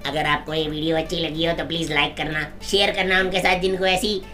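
A young man from India takes part in a casual home vlog. His voice sits high for a man, and music plays behind him in a small room. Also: little pitch movement, fairly quick, pushed and bright. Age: young adult. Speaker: challenge participant. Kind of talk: casual chat.

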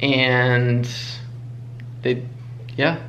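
A man's voice: mid-range voice, extremely slow, lo-fi sound, slight background noise. A man in his thirties, a vlog co-host, chatting casually and a bit ramblingly at his kitchen table. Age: 30s. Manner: relaxed, rambling, restarts his sentences. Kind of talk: casual chat.

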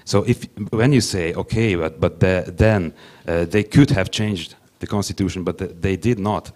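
A young man whose first language is Hungarian, speaking English haltingly on a conference panel into a handheld mic, with many restarts. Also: sits low, a little mellow. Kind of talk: panel discussion.

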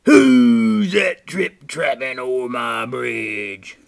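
A furious troll from a children's storybook. He bellows his threats slowly and menacingly, stretching the words out, with big swings in pitch. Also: big pitch swings, vocal fry, full character voice. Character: angry bridge troll, shouting and menacing.

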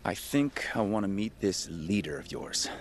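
An actor playing a wary, impatient survivor of a zombie apocalypse in a video game, blunt and streetwise. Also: male voice, lowish voice, lively intonation, moderate pace, vocal fry, slight background noise. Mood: baffled, wary. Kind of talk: video game cutscene acting.

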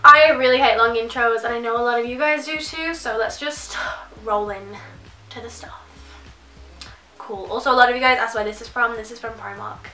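Bright, bunged-up woman vlogging. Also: fairly high pitch, steady tone, moderate pace, reverberant, distant mic, faint music behind. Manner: chatty, gushing, many likes. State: congested from a cold, nasal. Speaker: fashion vlogger. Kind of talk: casual haul chat to camera. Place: small bedroom, indoors.

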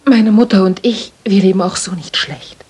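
A woman's voice: medium pitch, normal speed, mellow, slightly rough. An actress playing a worried young lady music teacher in a German radio play, speaking politely, earnestly and formally. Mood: polite, reserved.

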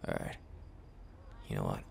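A man acting a flustered, jealous young best friend in an intimate roleplay, with halting, stammering speech and sighs. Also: slight background noise, natural voice. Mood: tense, subdued. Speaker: roleplay audio performer.

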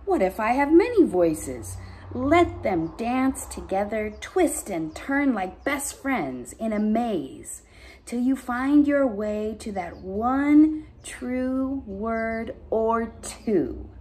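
A woman's voice: on the high side, sing-song, takes it slowly, dark tone, slight background noise. A middle-aged woman, a pastor hosting story time, reading poems aloud expressively to children with casual asides. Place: bright dining room at home.

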